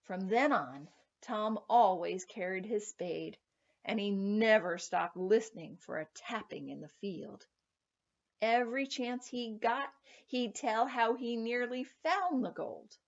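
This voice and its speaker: A woman reading a children's story aloud in an expressive, dramatic storytelling manner. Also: medium pitch, slow pace, lo-fi sound. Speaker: storybook reader.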